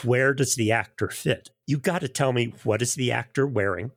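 Mid-pitched man explaining in a dry space. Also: moderate pace, dry recording. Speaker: audio drama technical director and sound editor. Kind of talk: conversational interview, anecdotal.

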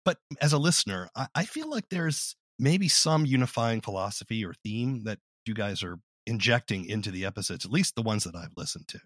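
A man interviewing guests in a conversational, measured and inquisitive manner. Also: fairly low pitch, animated, fairly bright tone, dry recording, studio quality.

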